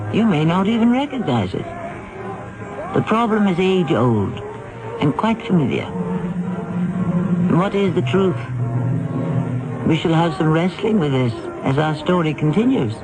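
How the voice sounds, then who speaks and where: A woman hosting a radio drama with scripted, theatrical, philosophical narration in a deliberate manner. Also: medium pitch, low-quality recording. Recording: vintage radio with music bed.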